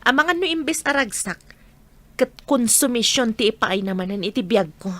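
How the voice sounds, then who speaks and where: A woman's voice: fairly high pitch, animated, normal speed, fairly bright tone. A woman acting a weary, bitter single mother in a Filipino audio drama.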